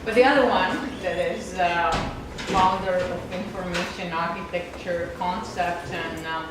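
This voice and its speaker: A woman's voice: on the low side, steady tone, unhurried. A woman asking a question and making an announcement at a meetup, rambling with many fillers. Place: lecture hall. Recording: echoey hall, distant mic, loud noise.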